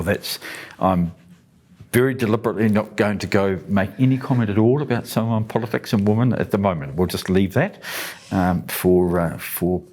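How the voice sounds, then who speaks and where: Relaxed New man, chatty. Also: sits low, slightly rough. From New Zealand. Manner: relaxed, chatty, jokey asides. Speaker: parliamentary speaker hosting a daily update. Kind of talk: casual explainer of the day's business.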